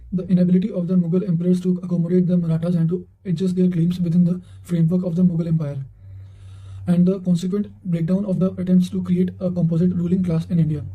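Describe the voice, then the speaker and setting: A man's voice: high-pitched, warm tone, low-quality recording. A man reading history study notes aloud in a careful delivery, occasionally stumbling over words. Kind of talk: reading quotations aloud for exam revision.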